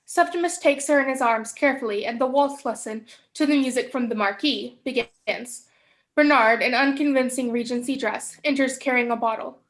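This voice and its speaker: A woman's voice: high-pitched, moderate pace. A young adult woman reading stage directions as scripted narration for a play.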